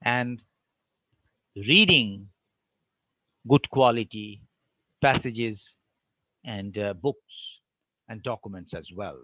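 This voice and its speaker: A man giving an online academic lecture, explaining with deliberate pauses between phrases. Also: lowish voice, animated, bright, forceful tone, no echo, poor audio.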